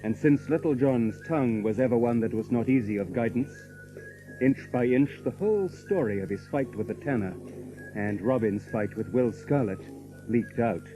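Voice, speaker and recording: An actor serving as narrator of a merry old English legend, telling it in measured, literary storybook language. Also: male voice, mid-range voice, music in the background, natural voice, rough recording. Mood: genial storytelling.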